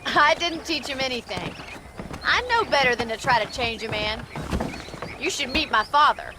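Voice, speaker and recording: A self-reliant young frontier woman in a game cutscene. She has a high voice and a rural Western American accent, frank and teasing. Clear outdoor noise with horses sits behind her. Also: expressive, moderate pace. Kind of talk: dramatic game cutscene acting.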